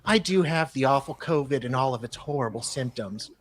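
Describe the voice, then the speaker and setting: A man's voice: mid-range voice, animated, brisk, slight background noise. A man on a live panel, giving dramatic readings of emails.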